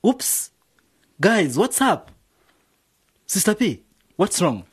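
An actor playing an exasperated, bossy music producer in a radio drama, scolding with emphasis in a husky voice. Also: male voice, high-pitched, very animated, slow, full character voice. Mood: annoyed.

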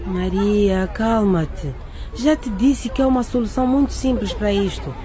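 A woman's voice: medium pitch, moderate pace, warm tone, a faint crowd, lightly acted. A woman playing a worldly, cynical, bossy teenage schoolgirl and best friend in a radio drama. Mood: cynical, soothing.

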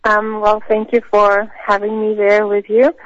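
Russian lady telling her life story, talks really slow, lots of thinking pauses, dull radio audio. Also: mid-range voice, completely flat delivery, no room sound. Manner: hesitant, thoughtful pauses. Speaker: missionary guest. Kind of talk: personal testimony in an interview.

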